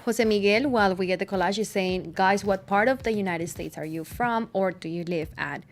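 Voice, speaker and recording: A woman's voice: medium pitch, a little fast, soft background music. A woman hosting a live student program in a conversational interview style.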